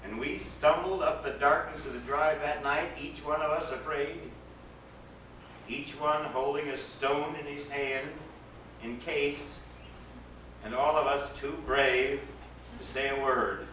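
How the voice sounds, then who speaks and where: A man giving a dramatic live reading of a story, expressive and theatrical, lingering over his words. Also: on the high side, slow. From United States. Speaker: actor reading a story aloud. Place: event at a ranch, roomy space. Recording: echoey distant live recording with background noise.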